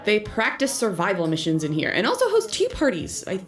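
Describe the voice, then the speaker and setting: A woman's voice: medium pitch, wildly swooping pitch, fairly quick. A woman playing a young circus trainee in an audio drama, with an informal, hesitant and questioning way of talking. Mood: curious, quibbling. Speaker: voice actor. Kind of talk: conversational audio drama acting.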